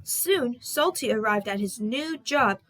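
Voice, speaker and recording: A woman's voice: on the high side, expressive, measured pace, fairly bright tone, slight background noise, rough recording. A woman narrating a scripted children's story as a read-aloud. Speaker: storybook reader.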